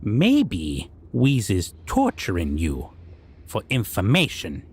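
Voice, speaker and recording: Male actor as goblin king, fantasy audio roleplay, stern menacing judge, very slow deliberate delivery, broken quirky grammar, expressive swooping intonation. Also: fairly low pitch, a little hiss or hum, exaggerated voice. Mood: coldly threatening. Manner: broken, unusual grammar with drawn-out questioning. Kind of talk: scripted roleplay audio drama monologue.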